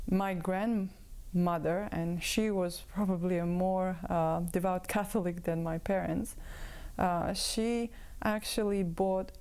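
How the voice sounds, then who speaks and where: A woman in her thirties from Croatia, a native Croatian speaker, giving her personal testimony as a guest with many pauses and fillers. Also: on the low side, darkish tone. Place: room with bookshelves. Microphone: lapel mic.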